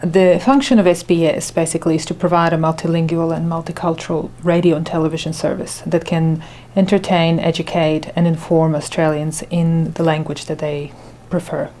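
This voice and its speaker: A middle-aged woman whose first language is Ukrainian answers interview questions in a low, mellow voice. Her pitch stays fairly flat as she thinks aloud, with many fillers and restarts. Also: normal speed, few pauses, a little hiss or hum. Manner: thoughtful, hesitant with fillers and restarts. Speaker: radio station manager.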